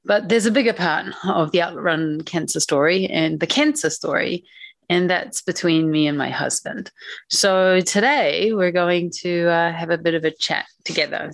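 A woman's voice: fairly low pitch, normal speed, vocal fry, no room sound. A woman in her forties hosting a podcast. She chats casually with her husband and laughs often. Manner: warm and chatty, laughs often. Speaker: podcast host.

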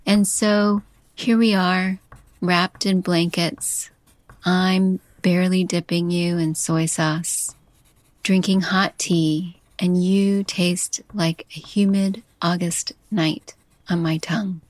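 Slow, intimate woman narrating lyrically. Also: lowish voice, level tone, no room sound. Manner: slow, intimate, poetic delivery. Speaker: podcast host and chef. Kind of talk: scripted narration, lyrical love letter.

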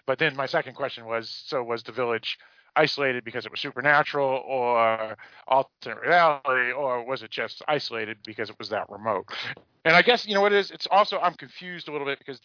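A man with an American accent hosting a casual discussion podcast about a TV show, speaking in a rambling, halting way with repeated words. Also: medium pitch, no echo, dull audio. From Massachusetts, United States. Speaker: podcast host.

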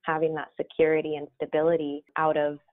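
A woman's voice: deep voice, completely flat delivery, fairly quick. A woman co-hosting a podcast in a casual chat, talking easily and laughing often. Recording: tinny phone-like line.

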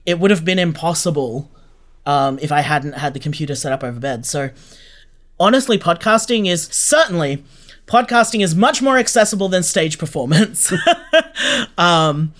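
A man's voice: on the high side, lively intonation, moderate pace, a bit bright. A man giving chatty, informal answers as an interview guest. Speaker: interview guest, podcast creator. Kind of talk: casual conversational interview answers.

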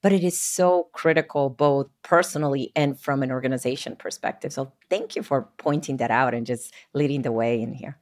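A woman's voice: rumbling low, steady tone, brisk, hardly any pauses, darkish tone. A woman from Puerto Rico co-hosting a conversation, speaking in an emphatic, affirming way. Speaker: podcast co-host. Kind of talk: casual conversation.

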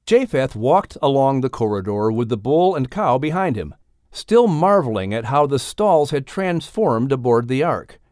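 A man's voice: medium pitch, big pitch swings, normal speed, darkish tone, no echo, studio quality. A man reading a children's story aloud as a scripted audiobook narrator.

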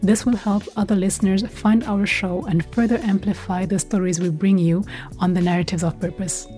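A woman's voice: medium pitch, fairly even intonation, normal speed, warm tone, faint music behind. A woman hosting a podcast, presenting in a composed scripted way and putting questions to guests. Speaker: podcast host.

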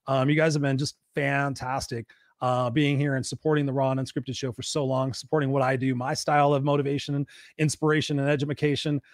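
A man's voice: mid-range voice, level tone, brisk, dry recording, clean studio sound. A man hosting a live online talk show, rambling and upbeat with many fillers. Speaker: host.

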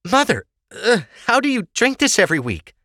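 An earnest young noblewoman from a fantasy audiobook, acted out by a man. The voice is pitched well up and bright, with restless, blunt protest in a daughter's way of talking. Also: huge pitch swings, normal speed, no echo, clean studio sound, full character voice. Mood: exasperated.

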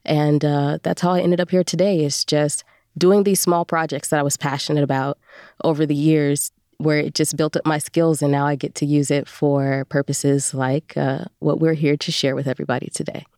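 A woman's voice: deep voice, little pitch movement, normal speed, a little mellow, no room sound. Woman chatting, close dry sound. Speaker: guest voice performer. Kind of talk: casual interview chat.

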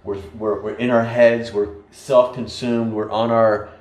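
An American man in casual conversation, telling stories in an animated way with frequent restarts. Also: fairly low pitch, measured pace. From United States. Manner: storytelling, animated, many restarts. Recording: echoey room.

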